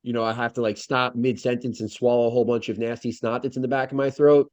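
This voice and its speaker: A man's voice: mid-range voice, flat delivery, fast talker, a little mellow. A congested man co-hosting a casual podcast over a video call, his voice dull and a bit lo-fi with no room echo at all. He rambles, swears freely and restarts his sentences a lot. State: congested nose. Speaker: podcast co-host.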